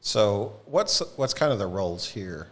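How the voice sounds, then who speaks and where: This is a man with a deep voice hosting a podcast. He talks in a casual, folksy way, bantering and joking as he interviews his guests. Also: expressive, measured pace. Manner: jokey, folksy and informal. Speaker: podcast host.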